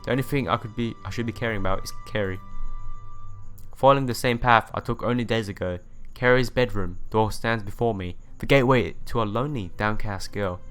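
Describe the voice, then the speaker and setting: Low-voiced man reading a story aloud over music. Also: brisk, a bit bright, smooth. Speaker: let's play narrator. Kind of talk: reading a visual novel aloud.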